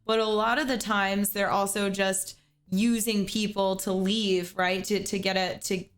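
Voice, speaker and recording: A woman's voice: medium pitch, little pitch movement, unhurried. A young woman hosting a livestream, giving a caller earnest advice in a casual chat and repeating words as she thinks. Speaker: streamer host giving advice.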